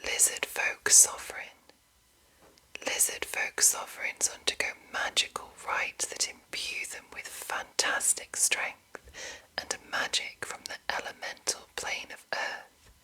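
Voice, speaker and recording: A woman ASMR host speaking entirely in a soft, breathy, unvoiced whisper to her viewers. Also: measured pace, poor audio. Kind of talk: whispered ASMR reading of game rulebook and chat to viewers.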